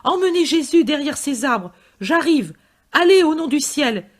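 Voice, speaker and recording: A woman voicing a fearless man who shouts urgent commands while shielding his master from a mob. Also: fairly high pitch, normal speed, natural voice.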